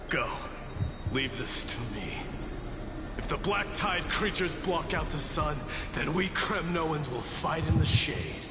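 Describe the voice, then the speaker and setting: High-voiced, brash warrior prince. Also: male voice. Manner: brash, taunting, dramatic. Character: proud, mocking immortal warrior prince of strife. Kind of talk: anime game voice acting. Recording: echoey reverb under loud music. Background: loud game music.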